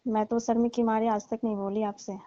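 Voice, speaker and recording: Casting: woman as coy, complaining young daughter-in-law for phone comedy; higher, mellow voice. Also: flat delivery, a little fast, no room sound, natural voice. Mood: coy, embarrassed. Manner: coy, hinting, complaining, teasing. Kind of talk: staged comic phone-call skit. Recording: muffled, lo-fi, dry call recording.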